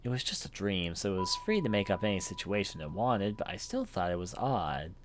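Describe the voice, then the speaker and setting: A man with a fairly low voice narrates a visual novel for a let's play. His delivery is very lively in pitch, like a fan reading aloud and commenting as he goes. Music plays clearly behind him. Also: a little fast. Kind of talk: animated read-aloud narration with off-the-cuff commentary.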